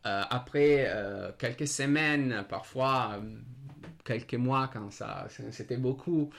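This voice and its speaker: A man's voice: mid-range voice, slow, creaky, a bit bright. A man with an Italian accent, a native Italian speaker, talking hesitantly and searching for words in an unscripted discussion. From Italy.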